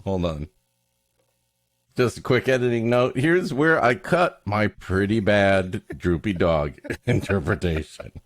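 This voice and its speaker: A man's voice: lowish voice, animated, a little slow, dry recording, clean studio sound. A man hosting a comedy podcast, mixing casual joking banter with mock-florid, dramatic reading of a story.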